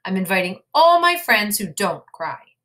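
Woman playing an earnest little boy rabbit, lively pitch. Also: a little slow. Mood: eager, curious.

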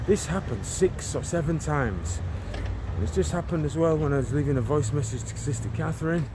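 A man's voice: fairly high pitch, expressive, fairly quick. A man in his forties from England, praying and preaching fervently while walking down a residential street, filming on a phone held at arm's length, often rambling and restarting his words. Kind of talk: walk-and-talk prayer and preaching vlog. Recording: outdoor street noise with passing traffic.

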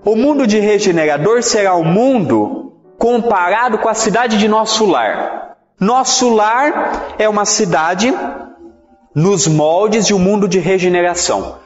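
A man's voice: high-pitched, expressive, a little slow, soft background music. Man, Brazilian accent, religious lecture, echoey hall, dull lo-fi sound, emphatic teaching delivery. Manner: didactic, emphatic, with rhetorical questions and repetition. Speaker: Spiritist lecturer.

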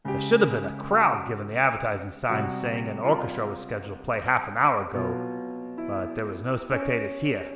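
A man's voice: lowish voice, lively intonation, a little fast, loud music behind the voice, close to an everyday voice. A man playing a tough-talking, wry 1940s private detective in a noir radio drama, with clipped slangy wisecracks. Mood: brooding narration. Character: gruff hard-boiled 1940s private detective, wry and threatening. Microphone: close desk microphone with headset.

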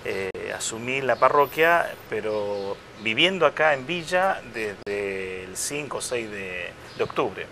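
Bright-voiced priest in his fifties. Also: lowish voice, expressive, a little hiss or hum. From Chile. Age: 50s. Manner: unhurried, reflective, with many hesitations and restarts. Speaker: parish priest, guest. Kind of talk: casual interview conversation. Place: outdoor restaurant patio. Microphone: lapel mic.

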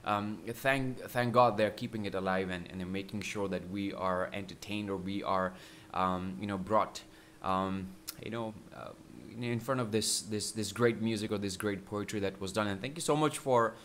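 A man in his thirties from Pakistan, hosting a casual music reaction video from a small room at home, thinking aloud with many pauses and fillers. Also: fairly low pitch, steady tone, fairly bright tone, slight background noise. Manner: reflective, many fillers and restarts.

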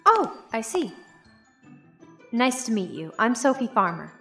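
A woman's voice: fairly high pitch, big pitch swings, unhurried, a bit bright, faint music behind, heavily acted. A woman playing a candid, chatty young graduate student in an audio drama. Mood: explaining. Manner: conversational, candid.

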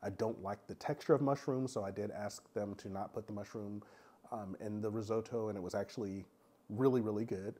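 A man with a low, dark, husky voice that is raspy and congested from a cold. He talks frankly and casually, stopping often for filler sounds as he gives his review. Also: fairly even intonation, brisk. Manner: frank, chatty, many "um" fillers. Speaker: vlogger reviewing a cruise.

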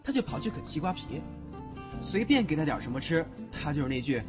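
A man's voice: fairly high pitch, sing-song, fairly quick. A man acting an aloof, grumbling young university student in an audio drama, with a blunt, exasperated manner. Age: young adult. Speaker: lead actor in a Chinese audio drama. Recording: slightly dull recording with music behind.

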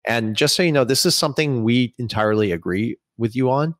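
A man's voice: mid-range voice, brisk, a bit bright, no echo, clean studio sound. A man in his thirties from Saudi Arabia, hosting an interview over a video call with long, opinionated questions. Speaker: interviewer and host. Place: small home room, indoors.

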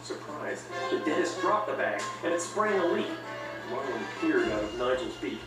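Male storybook narrator, expressive range, very echoey recording over loud music. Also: mid-range voice, moderate pace, far from the mic. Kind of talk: scripted narration of a children's read-along story.

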